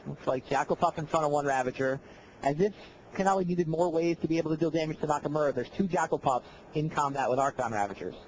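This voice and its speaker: Fast, lively high-voiced male commentator. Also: highs cut off, slight background noise. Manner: energetic, counting plays aloud. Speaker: play-by-play commentator. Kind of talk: live game commentary.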